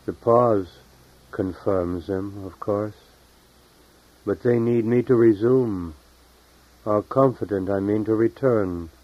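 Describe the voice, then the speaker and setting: A man reading his own poetry in a solemn, measured, deliberate way with long pauses, as a poet at a reading. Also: lowish voice. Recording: dull lo-fi 1970s tape recording.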